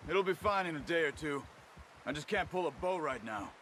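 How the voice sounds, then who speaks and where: An actor playing a capable American outlaw hunter, measured and understated, in a video game cutscene. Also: male voice, fairly high pitch, lively intonation, fairly bright tone, a little hiss or hum. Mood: calm.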